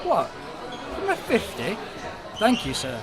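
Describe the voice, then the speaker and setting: A man plays an obliging, talkative barman in a radio drama. His voice is high and bounces up and down, with a busy pub crowd around him. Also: wildly swooping pitch, slow pace, full character voice. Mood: surprised. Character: chatty, obliging, cheerful male pub barman. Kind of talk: radio play acting.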